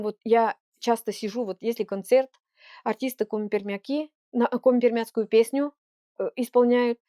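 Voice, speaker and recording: Woman with a Komi-Permyak accent, native Komi-Permyak speaker, mellow higher voice, earnest folk ensemble leader in a dry room. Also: dry recording. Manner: earnest, heartfelt. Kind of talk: interview answers.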